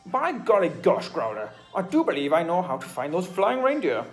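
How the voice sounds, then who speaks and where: A man voicing a posh, greedy, evil hunter in a bedtime storybook, speaking with loud, scornful glee. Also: high voice, huge pitch swings, normal speed, soft background music, voice pushed up, full character voice. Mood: gloating. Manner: gloating, scornful, loud villain speech.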